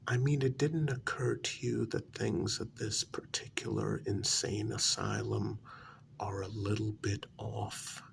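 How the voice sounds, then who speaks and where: A man playing a condescending, unhinged asylum patient in a soft-spoken ASMR role-play, halting and matter-of-fact, often slipping toward a half-whisper. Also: on the low side, little pitch movement, gravelly, a little hiss or hum. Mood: condescending, probing. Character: condescending, unhinged male asylum patient who believes he created everyone.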